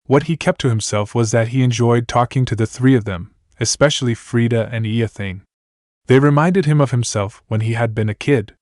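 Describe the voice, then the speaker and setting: A man's voice: mid-range voice, normal speed, a little mellow, dry recording. Man reading a story, dry close sound. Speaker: narrator. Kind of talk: reading fan fiction aloud.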